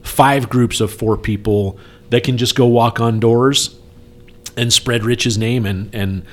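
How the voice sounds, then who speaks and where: A man's voice: medium pitch, steady tone, takes it slowly. A man talking casually on a podcast in an earnest, persuasive, conversational way, repeating points for emphasis. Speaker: speaker appealing for campaign support.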